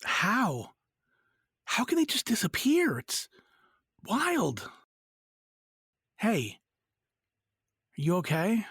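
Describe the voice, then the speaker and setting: An actor playing an earnest, questioning husband on a fantasy quest in an audio drama, with a husky, gravelly voice. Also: male voice, sits high, wildly swooping pitch, extremely slow, long pauses, fairly bright tone, dry recording, studio quality, full character voice. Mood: puzzled, concerned. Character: Colin, skeptical adult husband on a fantasy quest.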